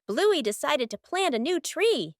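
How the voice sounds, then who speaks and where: A woman's voice: high-pitched, very animated, a little slow, dry recording, clean studio sound. A woman reading scripted narration for a children's cartoon story. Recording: music behind the voice.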